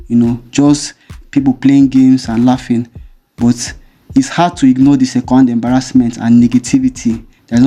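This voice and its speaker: Dark-voiced man narrating a video essay. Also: medium pitch. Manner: measured, explanatory. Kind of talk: scripted commentary narration.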